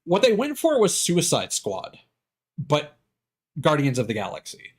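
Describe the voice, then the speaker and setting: Rambling, halting male game streamer. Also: fairly high pitch, huge pitch swings, breathy edge. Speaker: live streamer. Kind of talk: casual rambling stream commentary.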